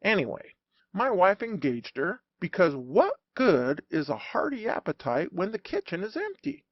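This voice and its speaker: A man narrating a humorous audiobook in an animated, wry storytelling manner. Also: sits high, normal speed, no room sound, muffled recording.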